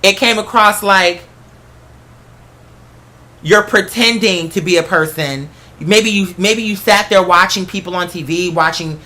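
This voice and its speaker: A solo talk host with a bright, forward voice at a middling pitch. The delivery is a casual, rambling monologue, emphatic and conversational, with salty language. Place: apartment.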